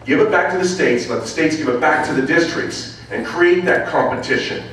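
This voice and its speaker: A man's voice: fairly high pitch, brisk, fairly bright tone, far from the mic, slight background noise. Fiery candidate man, echoey hall. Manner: fiery, emphatic, rallying. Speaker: political candidate. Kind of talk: campaign answer at a public forum.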